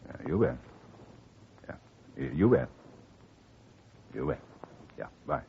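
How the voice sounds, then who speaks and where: A man playing a smooth middle-aged lawyer in a 1950 radio drama, genial and chatty, quietly scheming. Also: very deep voice, big pitch swings, slow, full character voice. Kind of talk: 1940s radio acting. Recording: tinny faint-hiss old radio recording.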